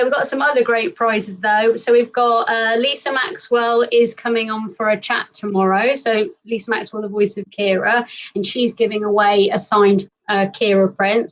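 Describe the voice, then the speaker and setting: A woman hosting a live stream, upbeat and quick to laugh. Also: medium pitch, fairly even intonation, recorded from a distance, poor audio. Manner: bright, giggly, upbeat. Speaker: host. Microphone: video call.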